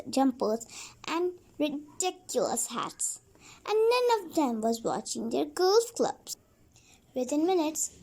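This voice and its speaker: A woman reading a children's storybook aloud as a read-along, haltingly, repeating and correcting words as she goes. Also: sits high.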